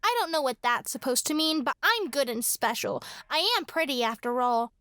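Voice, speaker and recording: A woman's voice: sits high, animated, moderate pace, a bit bright, no room sound, clean studio sound. A woman playing a vain, bratty, spoiled little girl in a horror radio drama. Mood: smug.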